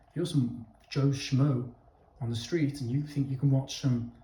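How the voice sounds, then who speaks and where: A man's voice: medium pitch, mellow. A young man giving a casual opinion monologue to his viewers, blunt, emphatic and sweary, often checking in with "okay?". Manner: addresses listeners directly, with repeated "okay?" checks. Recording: echoey, roomy sound.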